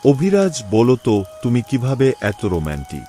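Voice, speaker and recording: A man's voice: mid-range voice, wildly swooping pitch, moderate pace, mellow. A man voicing a jovial soldier who teases his comrade in a cartoon drama. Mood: teasing. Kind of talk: cartoon story voice acting.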